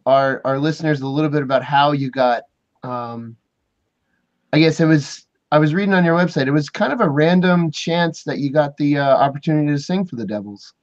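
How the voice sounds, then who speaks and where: A man's voice: a little high, a little fast, dull audio. A man hosting a podcast interview, asking questions in a hesitant way with many ums and restarts. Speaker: podcast host.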